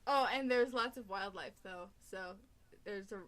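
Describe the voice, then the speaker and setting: A woman's voice: medium pitch, unhurried, fairly bright tone. A young woman chatting casually with friends, rambling and filling gaps with um and uh, often giggling. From United States. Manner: rambling with frequent fillers and giggles. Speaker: cover singer. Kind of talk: casual group chat.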